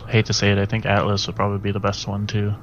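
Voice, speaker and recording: Lowish casual man at a game table. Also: monotone, rapid-fire, dull audio, slight background noise.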